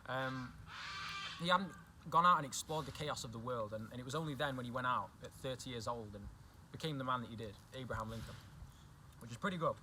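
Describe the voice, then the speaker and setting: A man's voice: mid-range voice, fast, noisy background. A young man with an English accent, chatting casually as a guest and often repeating himself. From England. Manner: chatty, repeats himself, says "do you know what I mean". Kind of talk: casual chat.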